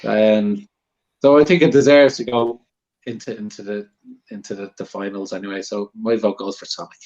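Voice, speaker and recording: A man's voice: on the low side, steady tone, normal speed, darkish tone, muffled recording. A man chatting casually as a guest panelist, giving his opinions on films in an easygoing, repetitive way. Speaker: guest panelist on a movie debate show.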